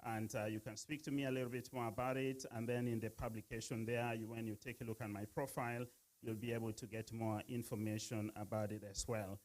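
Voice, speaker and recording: Halting man from Uganda addressing a gala. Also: medium pitch, flat delivery, a little mellow. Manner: halting, many fillers. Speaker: speaker at a gala. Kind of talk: casual speech to an audience.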